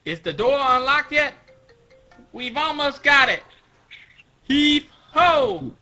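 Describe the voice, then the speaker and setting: A man voicing frantic cartoon guards and little rebels, exclaiming loudly and over-dramatically. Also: very high-pitched, measured pace, muffled recording, soft background music, voice pushed up, exaggerated voice. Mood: frantic, commanding.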